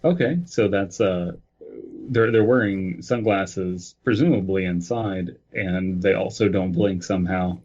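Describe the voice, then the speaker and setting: A man's voice: sits low, a little slow, a little mellow, dull audio. A man hosting a podcast, reading aloud and breaking off into casual, joking asides. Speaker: podcast host.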